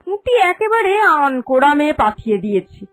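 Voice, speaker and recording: Woman voicing a haughty, high-voiced village moneylender matriarch. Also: big pitch swings, brisk, no echo. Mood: sly, scolding. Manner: haughty, scolding, emphatic. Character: vain, greedy, bossy village moneylender matriarch. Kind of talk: Bengali cartoon fairy-tale voice acting. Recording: music under the voice.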